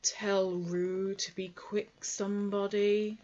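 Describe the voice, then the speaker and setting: A woman's voice: medium pitch, little pitch movement, takes it slowly, a little mellow, close to an everyday voice. A woman voicing a gloomy, grumbling old male donkey. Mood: glum. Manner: grumbling, glum. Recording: dull lo-fi recording.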